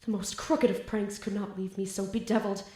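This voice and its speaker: A woman's voice: mid-range voice, little pitch movement, fairly quick, warm tone, reverberant, lightly acted. A woman playing a flustered, lovestruck, bookish student in an audio drama, with grandiose theatrical diction. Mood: grandiose, dramatic.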